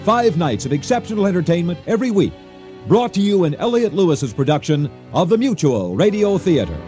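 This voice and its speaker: A man's voice: high-pitched, big pitch swings, brisk, mellow, faint music behind. A man announcing a radio theater program in a scripted broadcast style.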